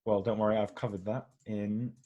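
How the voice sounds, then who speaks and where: A young man from Egypt talks students through interview tips in a loose, informal way, full of "like" and "you know". His voice sits fairly low. He speaks into a computer mic on a video call. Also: level tone, moderate pace, warm tone, highs cut off. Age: young adult. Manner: chatty and informal, many fillers like "like" and "you know". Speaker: dental student giving an interview webinar. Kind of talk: casual online webinar talk.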